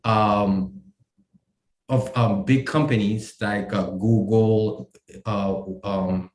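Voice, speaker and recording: A man from Haiti, a company co-founder, explaining his work in a casual interview, halting with many fillers and restarts. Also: on the low side, fairly even intonation, a little mellow, roomy sound, slight background noise. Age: adult.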